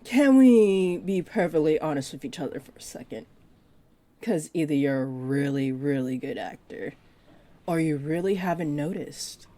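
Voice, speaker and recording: A woman playing a flirty, possessive part-dragon librarian in an intimate roleplay audio drama, speaking to the listener in a teasing, deliberate way. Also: low-pitched, expressive, dark tone. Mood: surprised, disbelieving. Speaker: voice actor.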